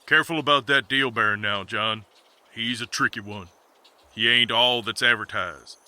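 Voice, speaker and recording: A man's voice: mid-range voice, moderate pace, pushed and bright. A man playing a weary, plain-spoken rural farmer in a comedy western audio drama. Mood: cautioning. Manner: folksy, cautioning.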